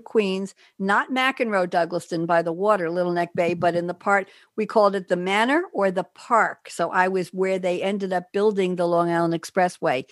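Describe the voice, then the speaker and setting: A woman's voice: lowish voice, dry recording. An older woman hosting a radio talk show, with a New York accent. She chats in a loose, rambling way and laughs often. The recording is very dry and close. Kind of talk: casual chat with guests.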